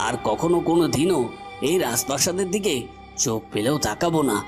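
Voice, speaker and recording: A man's voice: on the high side, expressive, brisk, over music, natural voice. A man voicing a cornered, treacherous villain in a cartoon, melodramatic, swinging between menace and pleading. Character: cornered treacherous male villain, threatening then begging for life.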